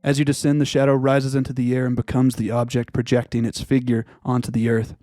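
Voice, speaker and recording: A man voicing a solemn, ominous narrator of a dream sequence in a video game, grave and measured. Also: mid-range voice, level tone, mellow, no echo, pitched below the performer's own voice, natural voice.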